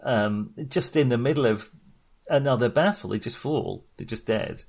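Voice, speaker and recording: Male film podcast host. Medium pitch, conversational and keen, loose rambling delivery full of self-corrections. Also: expressive, normal speed, creaky, a little mellow, husky. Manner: rambling, enthusiastic, self-interrupting; sweary. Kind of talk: casual film discussion.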